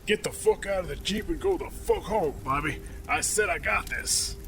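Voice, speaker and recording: A man's voice: medium pitch, moderate pace, natural voice. Man acting a leering, bullying militia captain, noisy recording. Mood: angry, threatening. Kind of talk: audio drama script reading.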